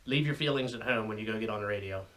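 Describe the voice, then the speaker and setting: A man's voice: lowish voice, racing, a bit bright. An American man giving an informal explainer to viewers in a folksy, conversational way with rambling asides. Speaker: radio hobbyist video host.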